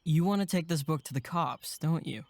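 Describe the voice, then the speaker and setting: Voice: mid-range voice, normal speed, no echo, natural voice. A voice actor playing an earnest, casual young male camp counselor in a horror audio drama. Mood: casual, supportive.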